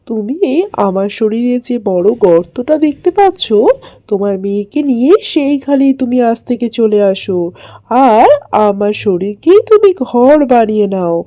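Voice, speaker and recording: Woman as a big kindly tree, protective, very dark soft timbre, lively intonation, cartoon. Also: a little high, no echo, voice pushed down. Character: kind, protective big old talking tree. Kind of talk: children's cartoon voice acting.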